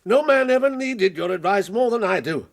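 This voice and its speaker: A middle-aged English gentleman of the Victorian era with jangled nerves. His voice is very high and gravelly, agitated and indignant, stammering as he blusters and evades in a radio drama. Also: lively intonation, moderate pace. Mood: flustered apologetic. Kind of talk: radio period drama acting.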